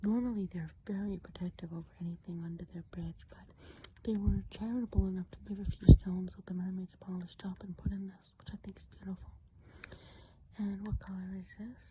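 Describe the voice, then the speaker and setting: A woman playing a kindly, chatty witch of the woods in a whispered ASMR role play, speaking gently and without hurry in a soft, breathy whisper. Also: medium pitch, fairly even intonation, dull audio, a little hiss or hum, lightly acted. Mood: attentive. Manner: gentle, unhurried, chatty, hesitant asides.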